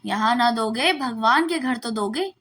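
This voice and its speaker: Lady reading as greedy priest moneylender guy in a story, stern n taunting, slow delivery. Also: fairly high pitch, expressive, a bit bright. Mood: unyielding. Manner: accusing, unyielding, taunting. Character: stern, grasping male village priest turned moneylender.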